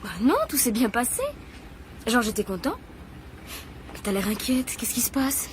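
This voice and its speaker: A woman's voice: fairly high pitch, measured pace, noisy background, natural voice. An actress playing a timid, devoted woman who is easily hurt, hesitating and repeating her words in a French radio drama. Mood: casual, guarded.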